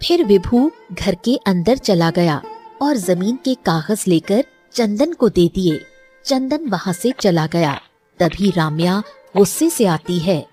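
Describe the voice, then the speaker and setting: A woman's voice: mid-range voice, lively intonation, slow pace, warm tone, soft background music. A woman narrating a scripted story in storybook style.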